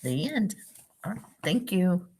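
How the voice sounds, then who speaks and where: A woman reading a picture book aloud to young children, pausing for simple questions and explanations like a teacher. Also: lowish voice, sing-song, darkish tone, slight background noise. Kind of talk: read-aloud storytime with asides and questions to young listeners.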